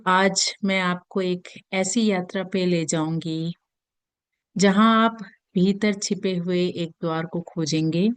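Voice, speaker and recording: A woman with an Indian accent leads a guided meditation in a gentle, soothing manner with long pauses. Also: on the low side, fairly even intonation, smooth, no room sound. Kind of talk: guided meditation in Hindi mixed with English.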